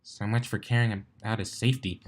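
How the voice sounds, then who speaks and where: A man's voice: on the low side, steady tone, fairly quick, lightly acted. A man plays a pony mare who captains a flying team in a story. She is bossy and wry, with a dry, teasing delivery.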